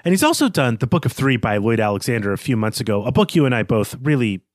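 A male podcast co-host talks about literature in a mid-pitched voice. He lays out his points analytically and with measure. The sound is close and dry, with very little room in it. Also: very animated, fast, no echo.

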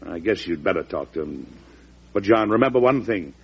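An actor playing a resolute, worried U.S. President in an emphatic, oratorical old-time radio drama style. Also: male voice, deep voice, lively intonation, fairly quick, vocal fry, breathy edge, full character voice. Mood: firm. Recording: muffled old radio recording.

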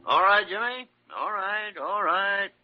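An actor playing an older, irascible American doctor in a radio drama, gruff, blustering and sarcastic. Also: male voice, sits high, unhurried, over music. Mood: irritable. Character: crusty, irascible senior doctor, sardonic mentor. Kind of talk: vintage radio drama acting. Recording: muffled old radio broadcast.

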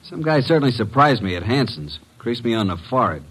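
An actor playing a breezy, wisecracking tough detective's assistant in an old-time radio drama, brisk and slangy in his delivery. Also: mid-range voice, sing-song, a bit bright, husky, low-quality recording. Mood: wry, excited.

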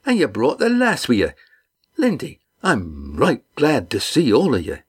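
A man's voice: medium pitch, huge pitch swings, measured pace, husky, pitched above the performer's own voice, full character voice. A man voicing a big, hearty red-haired fisherman skipper with boisterous, folksy old-fashioned speech.

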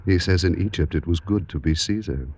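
A man's voice: low voice, a little fast, a little mellow, slightly rough. An actor playing a mild, hesitant Roman shopkeeper in his forties in a classic radio drama, chatting noncommittally. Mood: chatty, cautious. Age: 40s. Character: mild, hesitant middle-aged Roman shopkeeper and family man.

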